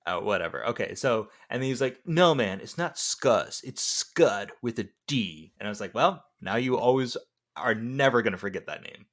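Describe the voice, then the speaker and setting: A man in a casual film discussion, talking in a joking, animated way. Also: fairly low pitch, moderate pace.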